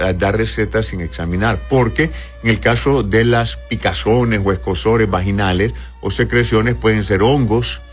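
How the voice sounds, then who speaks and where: A very low-voiced man, a doctor who reads out viewers' messages and answers each one patiently. He calls people "corazón" as he advises them. Quiet music plays under his voice. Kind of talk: live call-in health advice show.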